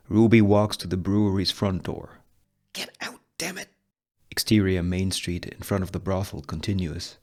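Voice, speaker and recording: Slow, low-voiced man narrating a script. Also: a little mellow. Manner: measured, deliberate reading in short phrases. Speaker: table read narrator reading all parts. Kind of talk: scripted screenplay narration of action and scene headings.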